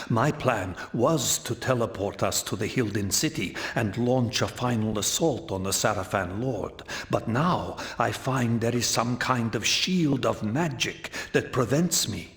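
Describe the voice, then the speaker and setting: Slow, solemn ancient vampire elder voiced by a man. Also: mid-range voice, close to an everyday voice. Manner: measured, formal, portentous delivery. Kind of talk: theatrical fantasy game cutscene acting.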